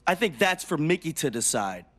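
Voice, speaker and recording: A smug, possessive man plays the wrestler boyfriend in a video game scene. His voice is a little high, with lively swings in pitch. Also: moderate pace. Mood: smug, annoyed. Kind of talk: scripted video game cutscene acting.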